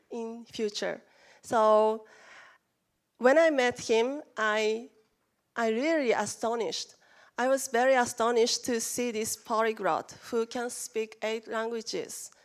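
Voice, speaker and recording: Slow, careful Japanese-accented woman speaker. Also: a little high, creaky. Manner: halting, careful non-native phrasing with restarts. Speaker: conference speaker. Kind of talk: presentation talk. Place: conference room.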